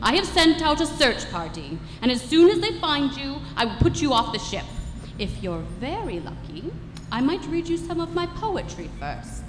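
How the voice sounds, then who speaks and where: A woman plays a bullying alien starship captain, bitter and self-important, barking curt announcements in a highish voice. It is a live radio-style comedy in a reverberant hall, with some music behind. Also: very animated, normal speed. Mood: bitter, menacing. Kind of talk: radio comedy stage play. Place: live stage performance in a hall.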